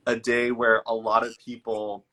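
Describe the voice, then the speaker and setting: A man's voice: medium pitch, monotone, unhurried, fairly bright tone. A man from Virginia hosting a casual interview over a video call, friendly and upbeat. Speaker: podcast host.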